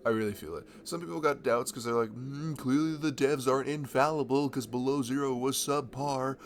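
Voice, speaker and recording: A man playing a friendly, nerdy young guy in a casual ASMR roleplay, chatting to a childhood best friend in a halting, self-deprecating ramble. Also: mid-range voice, a little hiss or hum, close to an everyday voice. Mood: enthusiastic, geeky. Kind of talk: improvised-sounding ASMR roleplay, casual one-sided conversation.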